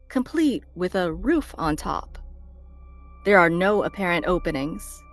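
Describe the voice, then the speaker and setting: A woman narrator reading screenplay stage directions as dramatic scripted narration. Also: medium pitch. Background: faint background music.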